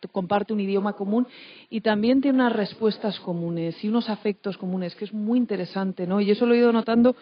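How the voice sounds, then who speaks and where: A woman's voice: medium pitch, fairly quick. A woman from Madrid with a Spanish accent from Spain, speaking as a teacher on a panel in a talkative, anecdotal way with frequent tag questions. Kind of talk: unscripted panel discussion. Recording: dull, lo-fi event recording.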